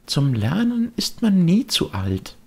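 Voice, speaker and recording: Mann spielt sanfte, hohe mütterliche Fee. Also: male voice, on the high side, wildly swooping pitch, takes it slowly, gravelly, voice pushed up, exaggerated voice. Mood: admonishing. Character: gentle, motherly female fairy, kind but admonishing. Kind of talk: audiobook character voice.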